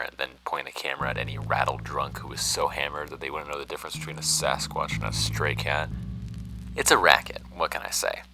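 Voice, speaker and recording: A man reads as a jaded, unapologetic television monster hunter confessing in a memoir. The American voice is very deep and bright, with a dry, world-weary delivery over music in a fiction podcast. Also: vocal fry, full character voice, rough recording. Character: jaded woman TV bigfoot hunter reading her confessional memoir. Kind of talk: first-person memoir narration.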